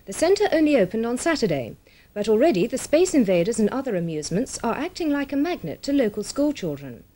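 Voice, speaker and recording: A woman reads a scripted regional television news bulletin in a formal, measured manner. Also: on the high side, very animated, mellow. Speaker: television newsreader.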